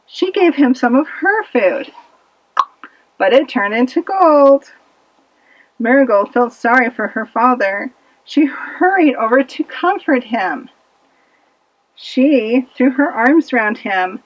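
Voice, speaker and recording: A woman narrating a children's puppet-show fable with expressive, dramatic delivery, in a slightly muffled recording. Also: high-pitched, slow pace, long pauses. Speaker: storyteller.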